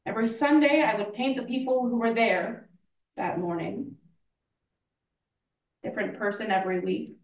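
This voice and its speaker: A woman playing a reflective painter looking back, speaking in measured short phrases with pauses, in a staged play reading. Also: a little high, natural voice. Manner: slow, measured, pausing between short phrases. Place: indoor room, live play reading. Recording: echoey room, far from mic.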